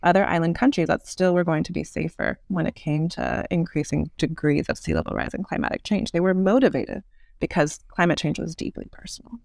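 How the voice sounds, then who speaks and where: Creaky-voiced woman in her thirties, measured. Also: sits low, lively intonation, a little mellow, dry recording, clean studio sound. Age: 30s. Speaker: podcast guest. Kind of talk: thoughtful interview explanation.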